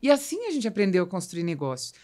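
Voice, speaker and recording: Female podcast host, Brazilian accent, mid-pitched voice, explanatory and emphatic, conversational business talk. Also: wildly swooping pitch, quick pace, dark tone.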